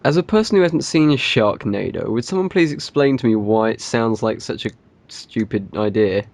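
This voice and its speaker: A man's voice: medium pitch, normal speed, darkish tone, no echo. A man hosting a podcast in a casual, conversational style. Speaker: podcast host.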